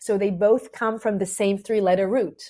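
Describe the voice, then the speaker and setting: A woman's voice: mid-range voice, fairly even intonation, normal speed, dark tone. An Israeli-accented woman, a native Hebrew speaker, talking as a podcast guest. She explains her ideas patiently and earnestly and builds them around analogies. Her phrasing restarts often and is sprinkled with "right?". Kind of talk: explanatory interview talk.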